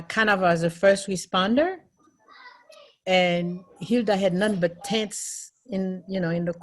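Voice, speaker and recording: A middle-aged Haitian woman who is a nurse and educator, telling her story in an earnest, thoughtful way with pauses and fillers. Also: medium pitch. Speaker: nurse and nursing educator, interviewee. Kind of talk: recorded interview, personal recollection.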